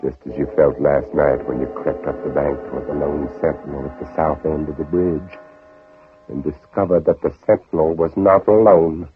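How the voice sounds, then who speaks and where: Low, brooding radio-drama Southern gentleman. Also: fairly even intonation, normal speed. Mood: tense, haunted. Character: anguished Southern gentleman planter, narrating his own ordeal. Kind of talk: 1940s-50s radio drama acting. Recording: old radio, dull narrow band, music behind.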